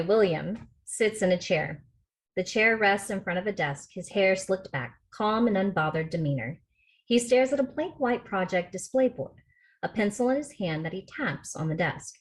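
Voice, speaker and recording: A woman's voice: medium pitch, poor audio. A woman reading stage directions as the narrator at a film script table read. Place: remote video call rehearsal.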